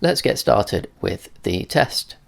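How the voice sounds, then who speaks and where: A man with a fairly low, gravelly voice presents a technical explainer. His delivery is measured and matter-of-fact, laying things out step by step like a tutorial. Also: lively intonation, very noisy. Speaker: presenter of a radio tech video.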